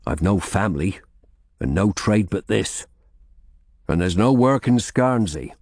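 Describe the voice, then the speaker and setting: A man's voice: low voice, lively intonation, a little slow, darkish tone, no echo, full character voice. Man playing an anxious, deferential ageing servant. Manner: meek, deferential, wheedling.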